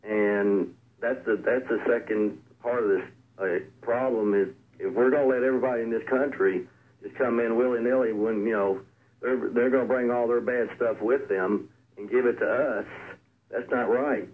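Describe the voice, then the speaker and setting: A hoarse man calling into a radio show, rambling and aggrieved. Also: mid-range voice, fairly even intonation, normal speed. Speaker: caller. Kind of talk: call-in opinion. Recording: tinny phone line on radio.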